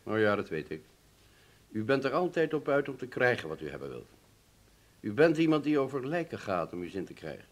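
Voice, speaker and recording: A bitter employee in a radio play. He speaks at a medium pitch in short, resentful phrases. His manner is defiant and grim. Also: sing-song, fairly quick. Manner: terse, resentful. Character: bitter, defiant male castle employee who has resigned. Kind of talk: radio drama acting.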